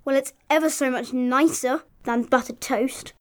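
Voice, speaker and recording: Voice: high-pitched, fairly even intonation, normal speed, voice pushed up, natural voice. A storyteller voicing a small, frightened talking monkey who pleads courteously and sadly in a children's storybook. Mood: coaxing. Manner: plaintive, courteous, imploring.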